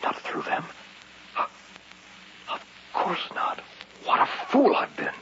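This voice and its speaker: A man's voice: takes it slowly, bright, forceful tone. An actor playing a paranoid, desperate man trapped alone in an old radio horror drama, going between muttering and panicked cries. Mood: fearful muttering. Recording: muffled, hissy vintage radio recording.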